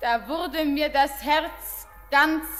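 An actress playing a heartbroken, deranged young bride in a literary radio play, speaking in a lyrical, trance-like manner. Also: female voice, sits high, extremely slow, fairly bright tone, soft background music, full character voice. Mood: entranced, chilled.

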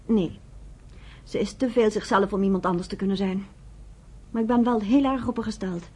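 A woman's voice: medium pitch, moderate pace, dark tone, husky, close to an everyday voice. An actress in a Dutch radio play voicing a guarded, conflicted woman with a hesitant, searching manner. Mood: uncertain, troubled.

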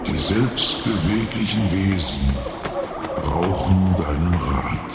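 An actor playing a stern, authoritative man with a measured delivery in a radio drama. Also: deep voice, over loud music. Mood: solemn, commanding. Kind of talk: German radio drama acting. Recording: roomy, heavy noisy ambience behind.

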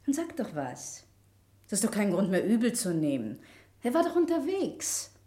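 Animated, trusting innkeeper's wife, echoey. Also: female voice, medium pitch, moderate pace. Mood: eager, coaxing. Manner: bright-spirited, coaxing, hospitable. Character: friendly, eager innkeeper's wife, trusting and chatty. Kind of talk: vintage German radio crime drama acting. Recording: echoey vintage radio play studio.

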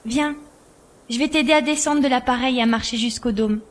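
A woman's voice: on the high side, a little fast, slight background noise, natural voice. A woman playing a helpful, plain-spoken young girl in an audio drama. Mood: friendly. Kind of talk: French audio drama acting.

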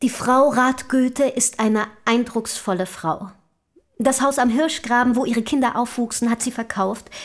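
Actress playing eager self-assured young rich lady in a radio play, highish voice, formal and articulate. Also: steady tone, a little slow, close to an everyday voice. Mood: chatty, proud.

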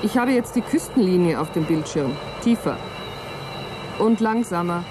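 A woman's voice: lowish voice, expressive, normal speed, background noise, natural voice. Woman as cool, clipped astronaut co-pilot. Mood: focused. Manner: clipped, composed, dryly ironic. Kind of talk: German radio play acting.

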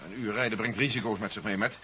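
An actor playing a brisk, commanding general in a 1970s radio drama, speaking in a clipped, decisive way. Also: male voice, on the low side, fairly even intonation, pushed and bright. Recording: dull, hissy 1970s radio recording.

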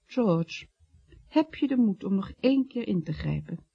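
An actress playing a loyal, efficient office secretary in a radio comedy, coaxing and expressive. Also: female voice, medium pitch, a little slow, gravelly, slightly rough, no room sound, muffled recording, full character voice. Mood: concerned. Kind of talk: radio comedy drama acting.

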